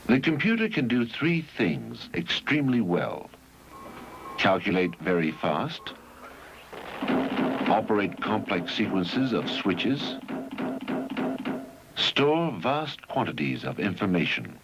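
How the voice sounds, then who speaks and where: A man narrates an educational film in a lowish voice with lively intonation. His delivery is measured and didactic, each phrase set out deliberately. The early seventies soundtrack sounds dull and muffled. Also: soft background music.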